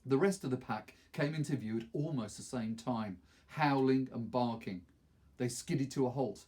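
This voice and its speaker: Husky middle-aged man reading a story. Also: medium pitch, warm tone. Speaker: teacher reading a novel aloud. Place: small wood-panelled study, indoors.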